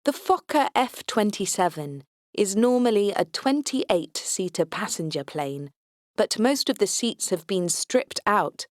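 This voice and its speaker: A woman's voice: fairly low pitch, no room sound, studio quality. Woman narrating a wry comic audiobook. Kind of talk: scripted first-person audiobook narration.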